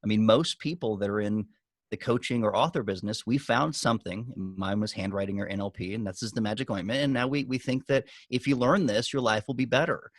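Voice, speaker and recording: A man's voice: on the low side, a little fast, no room sound, clean studio sound. A man giving business advice on a group video call as a guest coach, talking conversationally and emphatically, with anecdotes.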